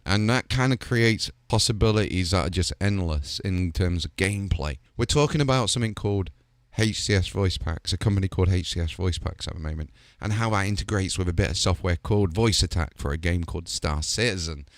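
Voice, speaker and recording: A male host talks casually and with enthusiasm, leaning on fillers as he goes. His voice sits a little low. The sound is dry and close. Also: dry recording. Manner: chatty and enthusiastic, with filler like "kinda". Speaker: host showcasing game voice packs.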